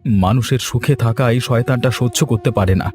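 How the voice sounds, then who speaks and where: A man's voice: mid-range voice, fairly quick, a little mellow, soft background music, close to an everyday voice. A man playing an earnest, wronged and hot-headed villager in a cartoon story, speaking emphatically. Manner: emphatic, declamatory. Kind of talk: Bengali cartoon story acting.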